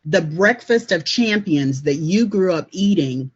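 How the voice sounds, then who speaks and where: A woman's voice: fairly low pitch, animated, measured pace, husky. A woman hosting a casual online panel chat in an upbeat way, often saying "awesome.". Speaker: host. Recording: dull lo-fi web call audio.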